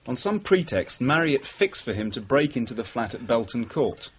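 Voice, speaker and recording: A British actor playing a dogged, level-headed detective sergeant in a radio drama, terse and measured as he confronts his boss. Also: medium pitch, big pitch swings, breathy edge. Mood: measured, insistent. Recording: dull, muffled old radio recording.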